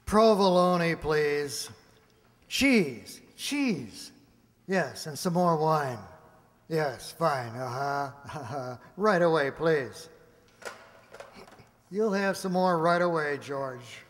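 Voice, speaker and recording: An actor playing a smooth, placating agent who coaxes a guest with a hesitant manner in a radio play. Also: male voice, on the high side, lively intonation, extremely slow. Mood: coaxing, genial. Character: smooth, coaxing male government agent plying a guest. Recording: reverberant hall.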